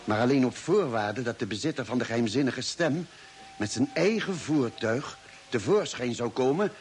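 A man's voice: mid-range voice, lively intonation, moderate pace, slight background noise, close to an everyday voice. A man acting in a radio play as a space crewman, narrating the expedition in a scripted dramatic style. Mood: grave recounting. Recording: old radio broadcast. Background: music.

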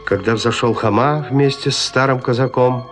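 Male narrator, slightly high voice, literary storytelling, audio drama, music playing underneath. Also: normal speed.

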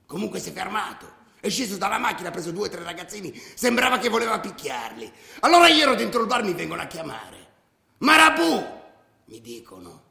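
An actor playing a boastful, hot-headed football ultra telling of his old brawls in a colloquial radio drama monologue, swinging from loud outbursts to low asides. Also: vocal fry, full character voice. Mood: animated. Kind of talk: dramatised first-person monologue, colloquial storytelling. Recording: roomy radio drama recording.

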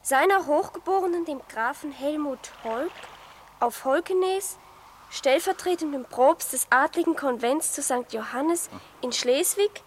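A young aristocratic daughter with a high voice. She reads aloud with playful formality. The radio drama has faint ambient noise behind her. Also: unhurried. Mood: playfully formal. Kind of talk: literary radio drama acting.

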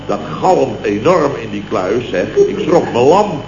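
An actor playing a blustering, indignant building-firm boss telling tall tales in exclamatory, conversational style in a vintage radio comedy. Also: male voice, mid-range voice, sing-song, unhurried, a little mellow. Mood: indignant, animated. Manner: exclamatory, conversational storytelling with mimicked exchanges. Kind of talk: 1970s radio comedy acting. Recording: old muffled, noisy, echoey radio recording.

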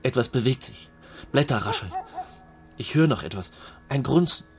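A man narrating a suspenseful story in a hushed way. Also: medium pitch, animated, moderate pace, soft background music.

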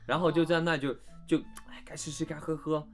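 A man's voice: a little high, flat delivery, a little fast, faint music behind. A man, a voice actor, chatting casually as a guest in a group interview.

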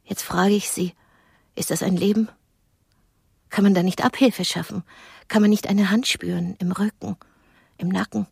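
An actress as a lonely woman getting on in years, nervous and melodramatic, in a radio play. Her voice sits at an ordinary female pitch and slips into breathy whispers as she delivers theatrical romantic pleas. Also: fairly even intonation, normal speed, long pauses, darkish tone, natural voice. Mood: plaintive, confessional. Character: nervous, lonely aging woman rehearsing romantic lines for blind date. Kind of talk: radio drama acting.

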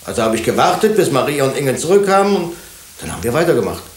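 Suspected physician, male actor: self-possessed, clipped and dry, animated melody, echoing drama studio. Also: mid-range voice, fast talker, lightly acted. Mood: dry, composed. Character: self-possessed, dry male hospital doctor under suspicion. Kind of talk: German radio drama acting.

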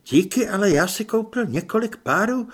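Unsure young woman hiding as a boy, raised husky voice, as a male reader puts her on. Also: high-pitched, animated, pitched above the performer's own voice. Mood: puzzled. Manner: hesitant, embarrassed.

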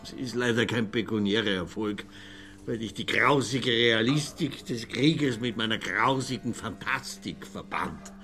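A man's voice: lowish voice, measured pace, bright, forceful tone, soft background music. An actor playing a tipsy, pompous older Austrian man of letters in a radio drama, declaiming sentimentally with an Austrian accent. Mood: tipsy, wistful.

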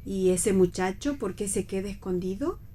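An actress plays a middle-aged Argentine mother in a radio play. She is chatty, kindly and a little naive, with a medium-pitched woman's voice. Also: normal speed, warm tone, natural voice. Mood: concerned. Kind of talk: read radio theatre.

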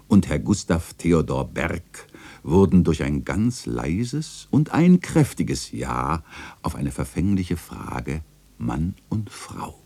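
An actor playing a melancholy old chronicler in a radio drama, narrating in a solemn, lyrical, gravelly manner. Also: male voice, a bass voice, mellow, no echo, exaggerated voice. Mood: nostalgic. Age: older. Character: melancholy old male chronicler looking back on his life.

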